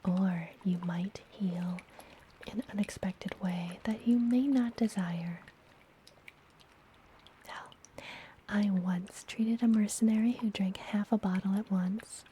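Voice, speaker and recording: A woman playing a friendly apothecary witch in a fantasy ASMR roleplay, speaking gently in a breathy, soothing whisper. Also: medium pitch, fairly even intonation, a little hiss or hum, close to an everyday voice. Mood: calm, instructive. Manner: slow, gentle, soothing delivery. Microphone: close ASMR microphone.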